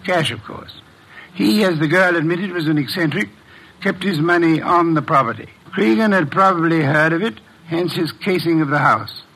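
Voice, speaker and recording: An actor playing a pompous, exacting middle-aged detective with precise, imperious diction in a classic radio mystery. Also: male voice, fairly high pitch, normal speed, pushed and bright, low-quality recording. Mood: explaining, impatient.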